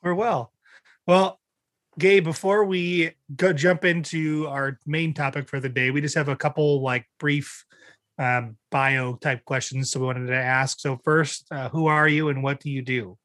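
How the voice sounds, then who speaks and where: A man's voice: on the high side, fairly even intonation, measured pace, a bit bright, no room sound, clean studio sound. A man co-hosting a podcast, asking questions and teasing lightly in casual chat. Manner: laughs easily, light teasing. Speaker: podcast co-host.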